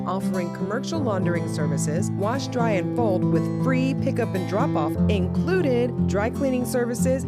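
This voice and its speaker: A woman reads a radio ad in an upbeat, promotional tone. Her pitch moves around a lot as she speaks, and loud plucked guitar music plays under the whole read. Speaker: ad announcer. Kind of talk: read commercial.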